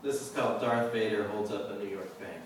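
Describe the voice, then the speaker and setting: A man giving a live poetry reading in a measured, deliberate way, pausing at the ends of lines. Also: fairly low pitch. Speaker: poet. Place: indoor reading venue with a live audience. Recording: very echoey room, distant mic, faint noise.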